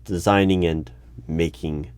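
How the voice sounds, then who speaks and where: A man playing an awkward, officious hiring manager in an ASMR interview roleplay, speaking formally with halting stammers and over-polite phrasing. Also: low voice, steady tone, a little mellow, clear, resonant tone. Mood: formal, inquisitive. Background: computer keyboard typing.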